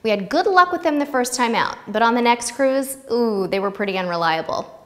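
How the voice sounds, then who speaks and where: A woman's voice: on the high side, lively intonation, brisk, a bit bright. American woman presenter, reverberant sound. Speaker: host of a travel tips video series. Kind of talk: scripted presenting to camera. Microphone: lapel mic.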